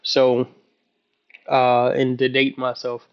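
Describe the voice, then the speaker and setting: A man in his early thirties talking casually in a halting, rambling way, as if keeping a personal video journal. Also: medium pitch, completely flat delivery, lo-fi sound. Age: early 30s. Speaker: vlogger.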